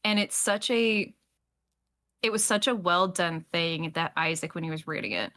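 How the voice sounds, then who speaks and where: Female podcast host, bright tone, casual and gushing, prone to acted asides. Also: on the low side, normal speed. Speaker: host of a fiction podcast discussion. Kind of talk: casual panel chat.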